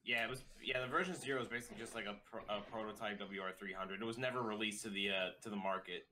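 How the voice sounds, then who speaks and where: Bright, lower-voiced man explaining, faint noise. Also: steady tone, rapid-fire. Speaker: knowledgeable guest commentator. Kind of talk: casual explanation.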